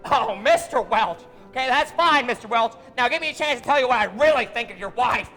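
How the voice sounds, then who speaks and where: A man's voice: very high-pitched, lively intonation, fairly quick, pushed and bright, long reverb tail, soft background music, heavily acted. A young man acting a small-town father at breaking point in a live radio play, stammering and repeating words. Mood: angry. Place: theater stage with audience. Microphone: vintage stand microphone.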